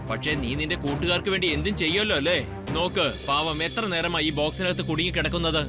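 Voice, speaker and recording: A man's voice: on the high side, fairly even intonation, fast, music in the background, natural voice. A man voicing a boastful villain commander in a children's cartoon dub, gloating, taunting and giving orders theatrically. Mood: gloating, menacing. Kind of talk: dubbed children's cartoon acting.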